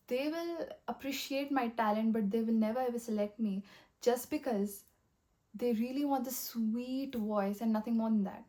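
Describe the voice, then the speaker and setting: A young woman talking in a rambling, earnest way, full of fillers, with a soft voice that has a slight husky edge. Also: fairly high pitch. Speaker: vlogger. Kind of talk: casual motivational monologue.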